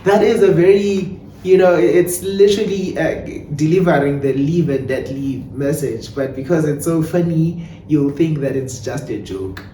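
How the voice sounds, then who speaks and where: A South African man giving conversational interview answers as a playwright and director, telling things in an animated storytelling way. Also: on the high side, moderate pace. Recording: echoey room, distant mic, clear background noise.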